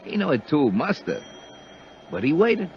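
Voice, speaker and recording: An actor playing a brash, plain-spoken filling station attendant in a 1950s radio drama, colloquial and matter-of-fact. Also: male voice, on the high side, big pitch swings, unhurried, slight background noise. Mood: sympathetic. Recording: dull 1950s radio recording with music.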